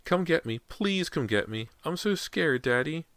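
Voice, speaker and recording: A tiny, frightened little boy whimpers and begs to be rescued, as a man puts on a high childlike voice. The voice leaps up and down as he pleads, with a clean, dry sound close to the mic. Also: animated, moderate pace, fairly bright tone, dry recording. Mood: frightened pleading.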